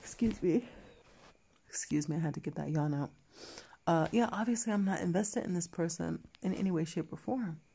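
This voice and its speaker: A woman's voice: on the low side, moderate pace, creaky, dark tone, slightly rough. A woman telling a personal story to her viewers in a chatty way, full of fillers and restarts, acting out both sides of a conversation. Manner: chatty, many fillers and restarts, quotes others in dialogue. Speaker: vlogger. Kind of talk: casual storytelling to camera.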